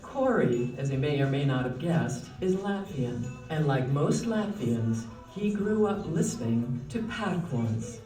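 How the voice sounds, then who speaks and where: Mellow narrator on a promo video, played back in an echoey hall, music under it. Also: medium pitch, animated, measured pace, few pauses, distant mic. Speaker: narrator of a promo case study. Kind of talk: scripted narration.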